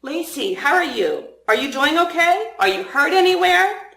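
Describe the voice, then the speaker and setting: A woman's voice: very high voice, big pitch swings, moderate pace, gravelly, fairly bright tone, pitched above the performer's own voice, full character voice. A woman voicing an irritated, earnest younger male FBI agent in a story reading. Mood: concerned. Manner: grumbling, put-upon. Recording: roomy, echoing room sound.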